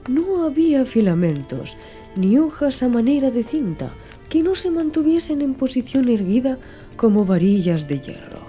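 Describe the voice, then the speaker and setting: A woman's voice: high voice, big pitch swings, normal speed, warm tone. A woman reading literary prose as an audiobook narrator in a scripted storytelling style. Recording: music under the voice. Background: background music.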